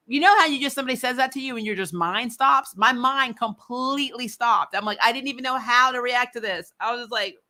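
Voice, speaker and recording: A host chatting casually and reacting to viewer comments in an animated, giggly way. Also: mid-range voice, brisk, hardly any pauses. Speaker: show host. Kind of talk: casual chat reading and answering live comments.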